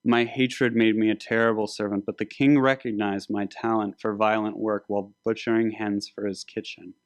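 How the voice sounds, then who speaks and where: A man voicing a commanding, manipulative biblical warrior king in a formal, measured, grandiose manner. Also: on the low side, flat delivery, dry recording, natural voice. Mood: grave, imposing.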